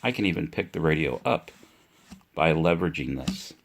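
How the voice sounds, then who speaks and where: A man giving a casual, unscripted product demonstration, speaking deliberately and repeating himself for emphasis. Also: a bass voice, animated, vocal fry. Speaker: product reviewer.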